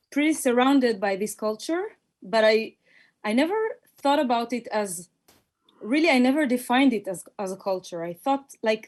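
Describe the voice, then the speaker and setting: A woman from Israel with an Israeli accent and a native Hebrew background, introducing herself as a guest musician in a thoughtful, hesitant way with many fillers. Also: on the high side. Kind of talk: conversational self-introduction.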